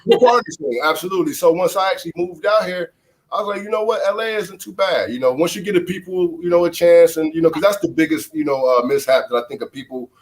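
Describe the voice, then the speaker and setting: A man's voice: high-pitched, quick pace, a crowd in the background, rough recording. Laid-back middle-aged man, stuffy-nosed. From Chicago. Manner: laid-back, casual, many fillers. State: stuffy, nasal from a flu. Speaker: guest, former baseball player.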